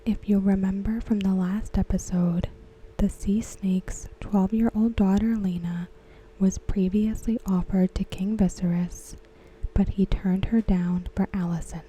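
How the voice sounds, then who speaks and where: A woman whispering softly and slowly as she recaps and discusses a TV episode for an ASMR video. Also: medium pitch, fairly even intonation, rough recording. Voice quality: breathy. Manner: slow, soft whispering. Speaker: ASMR creator. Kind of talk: soft-spoken ASMR recap.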